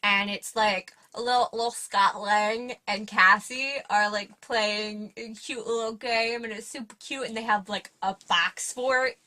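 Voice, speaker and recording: A woman's voice: medium pitch, steady tone, measured pace, hardly any pauses, sharp tone. A young woman with a speech impediment that makes her sound like baby talk, chatting casually and rambling through a movie review with lots of fillers. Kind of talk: casual chat.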